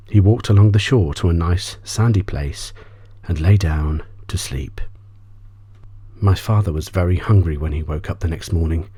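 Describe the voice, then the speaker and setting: A man reads an audiobook as an ASMR bedtime story, soft-spoken and often trailing off into a whisper. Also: low voice, slightly rough, no room sound. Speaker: audiobook reader.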